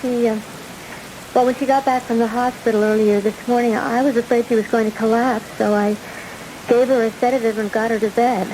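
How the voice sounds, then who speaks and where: A polite, timid eighteen-year-old played by a woman speaks with gentle hesitation. It is old-time radio drama acting, on a recording with clear hiss. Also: fairly high pitch, steady tone, a little fast, close to an everyday voice. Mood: anxious, polite. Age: young adult.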